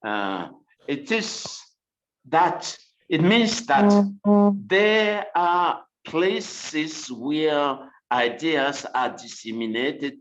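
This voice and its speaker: A man speaking English as a non-native language on a video call panel, pausing often with fillers and restarts as he searches for words. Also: on the high side, lively intonation, a bit bright. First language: not English. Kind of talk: unscripted panel discussion. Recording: roomy, slightly dull video call audio.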